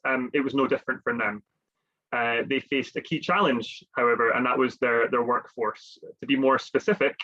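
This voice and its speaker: A man's voice: mid-range voice, fairly bright tone, highs cut off. A man hosting a business webinar, speaking conversationally with many fillers and restarts. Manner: conversational, with frequent fillers and repeated words. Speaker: webinar host, consultant.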